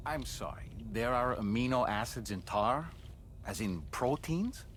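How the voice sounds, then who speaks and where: A man playing a gentle, empathetic crewmate in a video game cutscene, politely questioning. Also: mid-range voice, expressive, measured pace, a little hiss or hum. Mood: puzzled.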